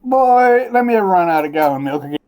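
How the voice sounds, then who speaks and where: A man's voice: high voice, lively intonation, brisk, darkish tone. A man on a casual podcast, laid-back and slangy, stammering into his points. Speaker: podcast co-host.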